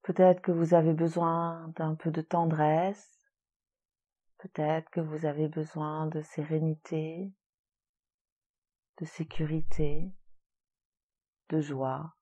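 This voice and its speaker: Woman, yoga nidra guide, gentle and soothing, lowish pitch, warm mellow tone, slightly dull recording. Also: little pitch movement. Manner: soothing, gentle, unhurried with long pauses. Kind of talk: guided relaxation meditation.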